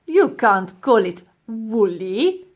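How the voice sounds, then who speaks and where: Pompous old male scientist for a storybook, played by a woman: boastful, scornful, slow and emphatic. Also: a little high, very animated, exaggerated voice. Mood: indignant.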